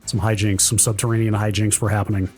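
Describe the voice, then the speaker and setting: A slightly hoarse man co-hosting a tech podcast, rambling with enthusiasm and lots of fillers. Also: on the low side, level tone, fast talker, a little mellow, faint music behind. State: a bit hoarse. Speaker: podcast co-host. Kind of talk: casual tech chat.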